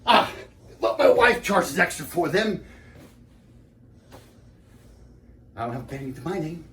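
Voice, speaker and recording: An actor playing a nervous, henpecked husband who rambles and pities himself through a theatrical comic lecture monologue. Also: male voice, a little high, sing-song, fairly quick, pushed and bright, full character voice. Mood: flustered, apologetic. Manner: digressive, self-pitying, exclaiming then trailing off. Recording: roomy, echoing, distant mic with background noise.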